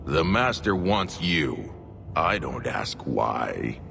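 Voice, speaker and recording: Gravelly, fanatical dwarf villain. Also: male voice, rumbling low, sing-song, takes it slowly, pushed and bright, soft background music, heavily acted. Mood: cold devotion. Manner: menacing, taunting, zealous. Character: menacing fanatical male dwarf cult thug leader. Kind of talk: dramatic fantasy game acting.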